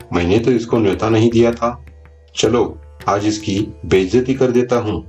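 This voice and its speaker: A man plays a proud, tight-fisted villager in a moral tale. His voice sits on the low side, scolding and full of scorn. Music runs beneath the lines. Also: darkish tone, close to an everyday voice. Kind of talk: acted dialogue in a Hindi moral story. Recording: music bed behind the voice.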